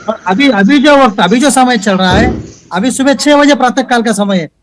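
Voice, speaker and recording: A man's voice: very high-pitched, moderate pace, crowd noise. A man, a lawyer on a TV debate panel, making blunt, challenging arguments and repeating his points. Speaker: advocate and political panelist.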